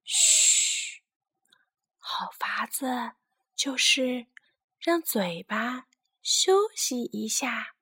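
A woman's voice: a little high, huge pitch swings, extremely slow, long pauses, husky, dry recording, studio quality, exaggerated voice. Woman as a tiny, earnest storybook ant. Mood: earnest, hushing. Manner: earnest, hushing, careful delivery. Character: tiny, earnest, patient, hard-working helpful ant. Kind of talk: children's storybook character voice.

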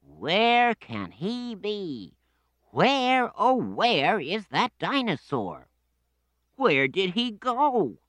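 A woman voicing a group of excited small children in a storybook reading, high and drawn out. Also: extremely slow, no room sound, full character voice.

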